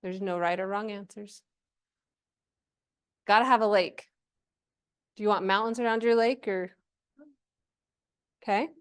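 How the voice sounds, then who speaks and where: A woman's voice: mid-range voice, fairly even intonation, unhurried, pauses often, clear, resonant tone. A woman giving an informal workshop presentation to a live group, chatty and encouraging, often checking in with questions.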